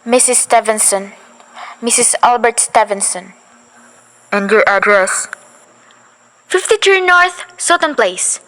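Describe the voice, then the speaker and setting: High-voiced nervy invalid wife, a schoolgirl acting her, impatient and bossy, school radio play. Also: animated, a bit bright, low-quality recording. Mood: anxious. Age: young. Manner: fretful, impatient, talks fast when agitated. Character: anxious, demanding invalid housewife, adult woman.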